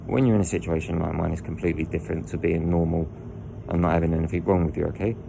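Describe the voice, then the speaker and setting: Croaky guy lying down telling his story, real deep gravelly voice, noisy background. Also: fairly even intonation, fast talker. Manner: rambling with restarts and asides. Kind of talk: candid personal storytelling. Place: indoors lying down at home.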